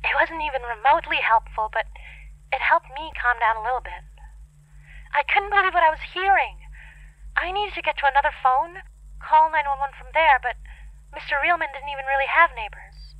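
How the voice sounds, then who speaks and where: High-voiced, hesitant teenage girl, lo-fi. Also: no echo. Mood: tense. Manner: hesitant, halting, confessional. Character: scared young teenage girl recounting a horror night. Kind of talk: dramatic first-person horror fiction narration. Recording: dull, lo-fi, band-limited audio.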